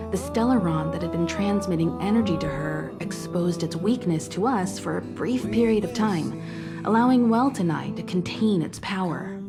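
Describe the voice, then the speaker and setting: A woman's voice: medium pitch, natural voice. Actress as composed, reassuring woman mentor, music behind. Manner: poised, reassuring, unhurried explaining. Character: composed, kindly woman, seasoned mentor of space travellers. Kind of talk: video game voice acting, measured dialogue. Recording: background music under studio voice.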